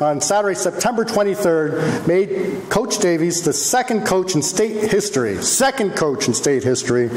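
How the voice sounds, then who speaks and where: A man in his sixties, a mayor, reading a proclamation at a council meeting, a bit halting and correcting himself, picked up by a distant camera. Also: high-pitched, a little mellow, slight background noise. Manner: halting, self-correcting, chuckles at his own slips. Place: large formal council chamber, indoors. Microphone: handheld mic, then unamplified, camera across the room. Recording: echoey hall, distant camera.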